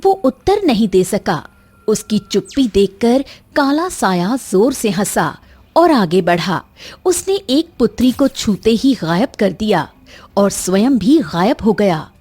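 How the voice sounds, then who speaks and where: A woman's voice: medium pitch, big pitch swings, takes it slowly, darkish tone, slightly rough. A woman giving dramatic scripted narration of a cartoon fairy tale. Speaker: story narrator.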